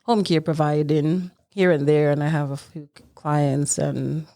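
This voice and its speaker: A woman's voice: sits low, steady tone, unhurried, mellow, no echo, studio quality. A middle-aged woman from Jamaica with a Jamaican accent, telling her own story conversationally as a podcast guest.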